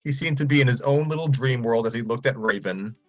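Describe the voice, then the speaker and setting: A man's voice: medium pitch, fast talker. A man narrating a story aloud informally, sometimes stumbling and repeating phrases. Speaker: story reader on a livestream.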